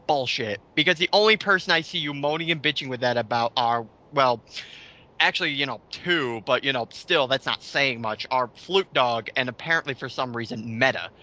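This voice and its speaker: A man's voice: medium pitch, normal speed, sharp tone. A man giving blunt, profane, scornful commentary in a casual rant. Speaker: commentary host.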